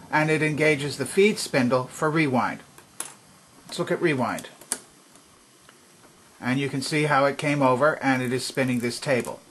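A man at a workbench giving a calm, methodical hands-on demonstration of an old stereo system, speaking from behind the camera. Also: mid-range voice, slight background noise. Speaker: vintage electronics restorer.

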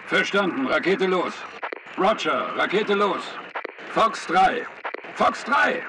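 Focused air-support radio soldier, high-pitched, clipped game chatter, clear background noise. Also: male voice, sing-song, takes it slowly, exaggerated voice. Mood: focused, urgent. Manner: clipped, procedural.

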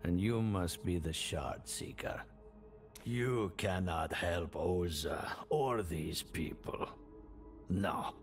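An actor playing a menacing, imperious cult leader in a fantasy video game, speaking deliberately. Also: male voice, deep voice. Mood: coldly menacing. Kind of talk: dramatic scripted video game villain. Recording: loud game music behind the voice.

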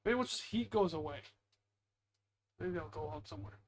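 A man's voice: high voice, lively intonation, normal speed, a little hiss or hum. A man giving casual, rambling, self-deprecating gameplay commentary as the host, muttering into a headset mic at home. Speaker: gaming video host.

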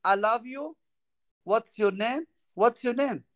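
A young man with a foreign accent chats casually as a guest on a phone livestream. His voice is extremely high for a man. He speaks broken English and often repeats his phrases. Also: takes it slowly, a bit bright. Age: young adult. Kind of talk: casual chat. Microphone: phone at arm's length.

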